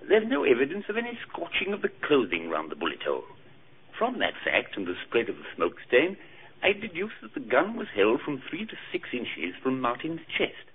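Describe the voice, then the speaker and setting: A man's voice: medium pitch, huge pitch swings, moderate pace. A faintly noisy, narrow old radio recording has a clinical forensic expert. His delivery is precise, with extremely varied intonation. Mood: clinical, emphatic. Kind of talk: old-time radio crime drama acting.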